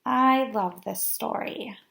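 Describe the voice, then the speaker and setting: A woman storyteller reads a children's book at an unhurried pace. Her voice is bright with a creaky edge, and she leans into words dramatically and adds small asides for young listeners. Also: a little high, a little hiss or hum. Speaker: storyteller reading a picture book aloud. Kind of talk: expressive children's read-aloud with asides.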